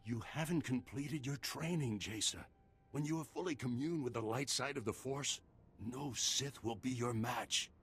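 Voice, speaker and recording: An actor playing an anguished, scolding old Jedi master in a video game, with a gravelly, rasping voice. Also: male voice, medium pitch, fairly bright tone. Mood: stern. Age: older. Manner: dramatic, slow, pleading and scolding.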